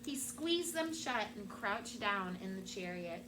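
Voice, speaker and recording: A woman's voice: mid-range voice, animated, slow pace. Woman teacher reading aloud over room noise. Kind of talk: expressive storybook read-aloud to young children. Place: classroom. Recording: noisy room with background ambience.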